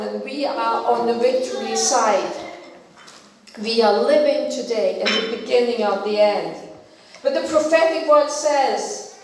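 Older female preacher from Denmark, Danish native; deliberate, repeats lines for emphasis; distant, echoey church sound. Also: high-pitched, a little hiss or hum. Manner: slow, emphatic, repeats key phrases. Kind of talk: sermon. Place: church hall. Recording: echoey hall, from far off.

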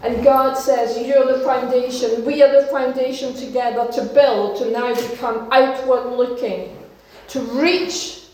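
High-voiced woman preaching in an echoey hall. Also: steady tone, unhurried, a little mellow, a little hiss or hum. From Denmark. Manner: earnest, encouraging, speaks in short emphatic phrases. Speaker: pastor. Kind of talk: sermon. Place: church hall.

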